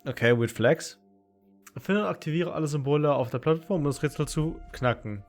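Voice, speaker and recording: A man's voice: fairly high pitch, fairly quick. A man with a German accent giving casual live commentary as he streams a video game. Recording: game music behind the voice. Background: game music.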